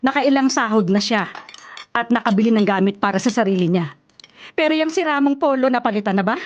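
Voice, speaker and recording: A woman's voice: fairly high pitch, fairly quick, dull audio, a little hiss or hum, natural voice. An actress playing an older, worried and caring Filipino mother in a radio drama, fussing and nagging at her grown son. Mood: reproachful, worried.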